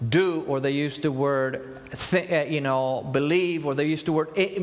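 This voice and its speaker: A man in his fifties with an Argentine Spanish accent delivers an earnest sermon. His voice sits a little higher than most men's. He poses rhetorical questions and answers them, and his words trail off into a long hall reverb. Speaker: preacher.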